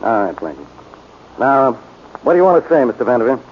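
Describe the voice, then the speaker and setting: A grumpy blunt american cop from a 40s radio show, phone-like hissy recording. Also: male voice, medium pitch, big pitch swings, brisk. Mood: gruff, impatient. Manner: gruff, blunt. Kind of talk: 1940s radio acting. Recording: tinny, noisy 1940s radio recording.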